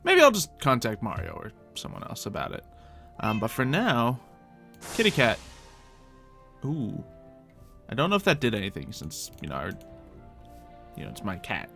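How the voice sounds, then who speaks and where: A man with a medium-pitched voice hosts an improvised video game roleplay. He chats casually to himself, thinking aloud as he plays. Soft music runs behind his voice. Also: wildly swooping pitch, normal speed, gravelly, pauses often. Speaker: gaming video host playing in character.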